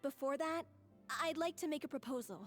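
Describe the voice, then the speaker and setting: A woman's voice: high-pitched, a little fast, natural voice. A woman voicing a polite, sincere young member of a theatre troupe in an anime-style video game. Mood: polite, earnest. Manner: courteous, sincere. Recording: game music behind the voice.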